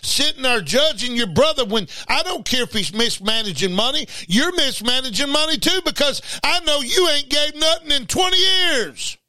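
A man's voice: very high voice, expressive, moderate pace, few pauses, sharp tone, dry recording. An angry man preaching in a confrontational, scolding way, firing off rhetorical questions and repeating his refrains. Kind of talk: fiery informal sermon.

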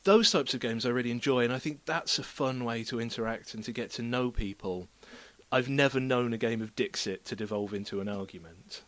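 A man guesting on a podcast with a stuffy nose from a cold, giving measured, reflective opinions in casual chat. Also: mid-range voice, a bit bright.

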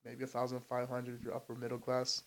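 A man's voice: mid-range voice, monotone, fast talker, rough recording. Chatty young man from Albania. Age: young adult. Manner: loose and chatty, says "bro" and "like" a lot. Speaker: podcast guest. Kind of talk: casual chat.

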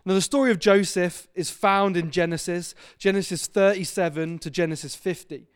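Upbeat preacher man, smooth voice. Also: high voice, normal speed. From England (Devon). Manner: chatty, self-deprecating, enthusiastic. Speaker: visiting preacher. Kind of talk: sermon. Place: church hall.

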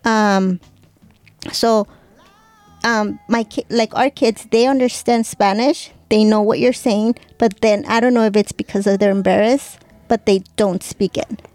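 A woman's voice: mid-range voice, steady tone, a little slow, darkish tone, dry recording. Woman in her forties, hesitant easygoing co-host, mid-range voice, dry close mic. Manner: hesitant, full of "like" and "you know", laughs easily. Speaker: podcast co-host. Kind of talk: casual chat.

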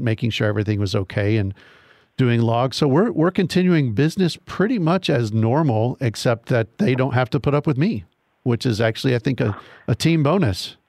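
A man's voice: mid-range voice, darkish tone, no room sound. Easygoing man in a dry studio. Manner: easygoing, self-deprecating. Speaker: radio station staff, co-host. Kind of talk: casual radio chat. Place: radio studio.

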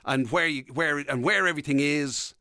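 An Irish man chats casually as a radio co-host, in a sharp, bright voice that is slightly high. He talks quickly and repeats himself as he explains. The sound is dry and close. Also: clear, resonant tone, no echo. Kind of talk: casual chat. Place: radio studio.

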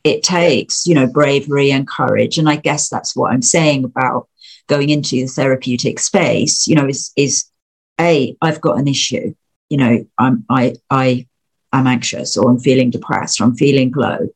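Husky-voiced reflective woman. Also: rumbling low, moderate pace. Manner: reflective, heavy use of "you know". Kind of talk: casual conversation.

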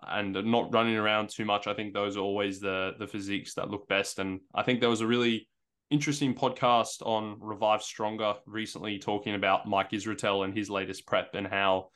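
A man's voice: on the low side, level tone, normal speed, fairly bright tone, smooth. An Australian man on a podcast who jokes cheekily and then explains his view earnestly. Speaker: podcast co-host. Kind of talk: casual podcast chat.

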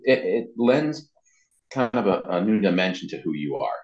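A man's voice: low-pitched, sing-song, normal speed, a little mellow. A man giving casual explanations as an interview guest, often repeating words as he goes. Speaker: interview guest, web marketing consultant. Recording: roomy echo, dull muffled sound.

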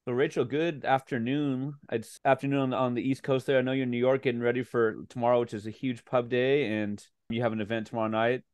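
A man's voice: medium pitch, steady tone, a little fast, hardly any pauses, darkish tone. A man hosting a podcast interview over a virtual call, asking eager, rambling questions with frequent restarts. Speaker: podcast host. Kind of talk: conversational interview. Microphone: remote call mic.

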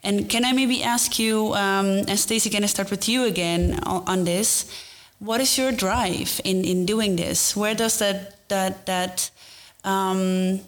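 Woman moderator, middle-pitched voice, halting questions. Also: fairly even intonation. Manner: hesitant, searching for words with fillers. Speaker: panel moderator. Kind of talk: panel discussion.

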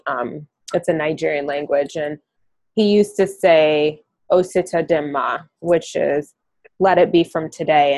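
A woman hosting a podcast in a soft-spoken, reflective way, often pausing with "um". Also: low voice, no echo. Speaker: podcast host. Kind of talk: casual interview chat.

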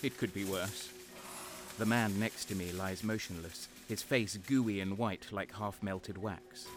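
A man's voice: fairly low pitch, steady tone, a little slow, music in the background, close to an everyday voice. A man playing a cynical, guarded wounded guardsman sergeant, narrating a sci-fi war audio drama in a sardonic, brooding way. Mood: grim, wry.

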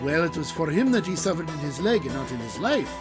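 Man playing a pompous, self-righteous busybody barber, fairly high-voiced. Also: sing-song, quick pace, loud music behind the voice. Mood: smug, self-justifying. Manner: declamatory, archaic, self-righteous. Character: pompous, self-satisfied busybody male barber, meddling and long-winded. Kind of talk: audio drama acting.

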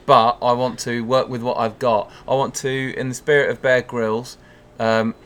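Male podcast contributor, average pitch, wordy mock-grand delivery, dry comedic banter. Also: little pitch movement, normal speed, a bit bright. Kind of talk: casual comedic chat.

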